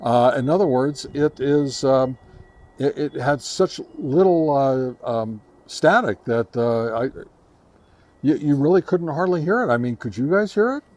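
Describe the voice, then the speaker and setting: A man hosting a casual product review, speaking haltingly to his viewers and repeating words for emphasis. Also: on the high side, animated, a little mellow. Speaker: host reviewing radio antennas.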